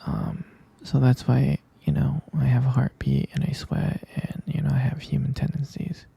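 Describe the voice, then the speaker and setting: Guy doing asmr sleepy boyfriend roleplay, super breathy whisper, dark soft tone, halting and soothing. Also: medium pitch, level tone, no room sound. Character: tender, reassuring half-vampire boyfriend soothing his partner to sleep. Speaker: ASMR performer.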